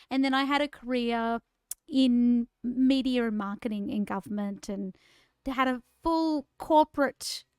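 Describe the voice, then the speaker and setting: A woman's voice: high-pitched, clean tone, no room sound. An Australian woman in her forties, co-hosting a podcast and telling her own story in a chatty, self-deprecating way. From Queensland, Australia. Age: 40s. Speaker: podcast co-host. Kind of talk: casual conversational podcast chat.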